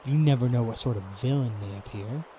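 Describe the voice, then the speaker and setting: Young knight , male actor, gallant and teasing, soft but firm mellow voice, fantasy audiodrama, faint noise behind. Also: mid-range voice, quick pace, natural voice, rough recording. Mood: smug, teasing.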